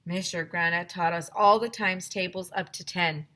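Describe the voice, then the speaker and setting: A woman teacher reading a children's chapter book aloud in an expressive storytelling style. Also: fairly low pitch, moderate pace.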